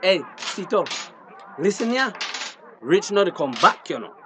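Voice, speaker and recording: A wary Belizean fisherman in a drama. He talks in Creole English with big pitch swings, and the recording is clearly noisy. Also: very animated, unhurried. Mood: cautioning. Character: wary male fisherman, a crook's sidekick. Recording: clearly noisy location sound.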